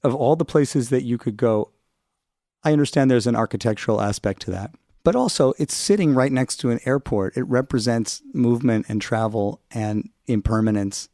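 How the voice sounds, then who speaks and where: A man's voice: medium pitch, moderate pace, dark tone, no room sound, clean studio sound. A man interviewing a guest on radio, asking thoughtful, probing questions.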